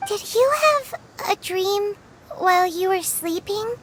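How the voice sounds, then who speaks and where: A woman's voice: expressive, takes it slowly, slight background noise, heavily acted. A woman voicing a small, childlike fairy companion in an anime video game, perky, inquisitive and eager. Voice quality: squeaky, childlike. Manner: perky, inquisitive, sing-song. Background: game music.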